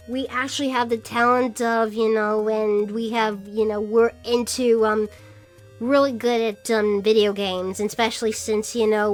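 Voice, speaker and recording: High-voiced young woman vlogger, music behind. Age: 20s. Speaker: vlogger and podcast host. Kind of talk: casual shoutout vlog chat.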